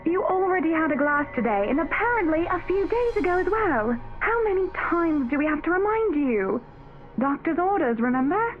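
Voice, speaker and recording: Fussy scolding woman, extremely high voice, cloying, visual novel, dull sound, music behind. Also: sing-song, moderate pace. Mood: sweetly scolding. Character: fussy, nagging woman doting on a drinking man. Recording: dull, band-limited game audio, music behind.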